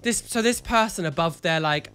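A man's voice: high-pitched, moderate pace, smooth, faint music behind. A man in his thirties, congested and nasal from a cold, giving camp, chatty reaction commentary from a home studio at a close mic. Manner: animated, sassy, dramatic exclamations. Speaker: YouTuber reacting to photos. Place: home studio room with neon lights. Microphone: studio mic on a boom arm, close.

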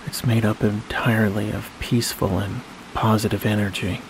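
A man leading a guided sleep meditation in a slow, soothing manner, leaving long pauses between phrases. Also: fairly low pitch. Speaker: hypnosis guide. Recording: steady rain-like noise behind voice.

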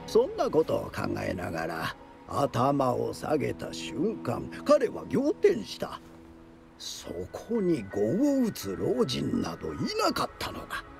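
Game storyteller: dramatic, knowing, unhurried delivery with huge melodic swings, music behind. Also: male voice, mid-range voice, huge pitch swings, creaky, full character voice. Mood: suspenseful, grave. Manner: theatrical, deliberate, suspenseful. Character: theatrical male storyteller spinning eerie mountain legends, dramatic, knowing. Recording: loud music under the voice.

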